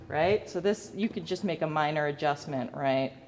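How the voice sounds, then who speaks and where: Female instructor, slightly low voice, informal demo with joking asides, reverberant hall with background noise. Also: normal speed. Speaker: lighting design teacher. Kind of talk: informal hands-on demonstration to students.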